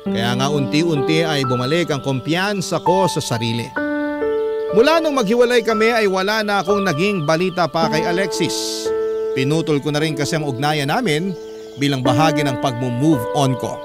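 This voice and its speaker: A man's voice: a little high, lively intonation, normal speed. A man with a Filipino accent reading a listener's letter as a radio host, in dramatic scripted narration. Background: loud music bed.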